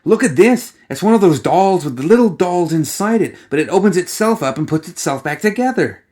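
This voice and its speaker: A cocky young gunslinger woman, acted out by a male narrator, pitched up high. She is a quirky storybook chatterbox with a morbid streak, full of teasing exclamations and delighted asides. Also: animated, a little mellow. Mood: excited. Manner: rapid-fire, teasing, exclamatory commentary.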